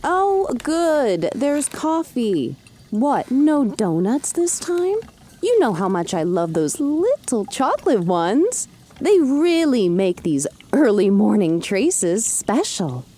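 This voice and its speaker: A woman playing a caring but sardonic young woman in an audio drama, with an expressive delivery. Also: on the high side, takes it slowly, full character voice. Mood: sarcastic.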